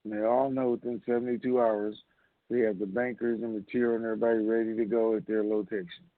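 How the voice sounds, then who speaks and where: A man's voice: lowish voice, flat delivery, fast talker, dry recording. A man hosting an informal question-and-answer conference call over the telephone. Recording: muffled narrowband phone line.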